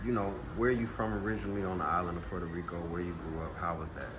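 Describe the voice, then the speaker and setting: A man's voice: low-pitched, flat delivery, racing, background noise. A man from Texas hosting a casual interview, talking in a laid-back, slangy way. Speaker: host. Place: indoors in Puerto Rico.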